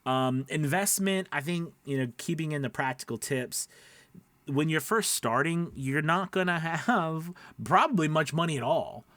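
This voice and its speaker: A man's voice: a little high, lively intonation, measured pace, fairly bright tone, no room sound. A man giving an informal instructional talk as a coach, emphatic, repeating his points and often checking in with his listeners. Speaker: voice-over coach and platform owner.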